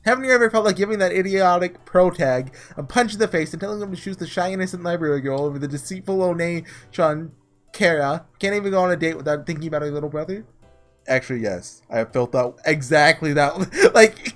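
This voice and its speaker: A man doing a casual let's play, reading story text aloud and breaking off into excitable asides, with game music behind him. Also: high-pitched. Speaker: gaming video creator doing a blind playthrough.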